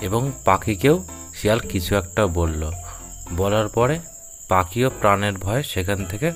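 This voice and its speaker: A man narrating a scripted children's fable in measured, short phrases. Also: lowish voice, fairly bright tone. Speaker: storyteller, narrator.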